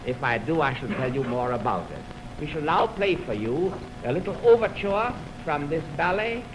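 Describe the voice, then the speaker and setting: Animated man on noisy 1920s radio. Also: on the high side, normal speed. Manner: formal, anecdotal storytelling. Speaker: orchestra conductor hosting a radio music program. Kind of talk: 1920s radio talk introducing music. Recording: noisy 1929 radio aircheck from optical film.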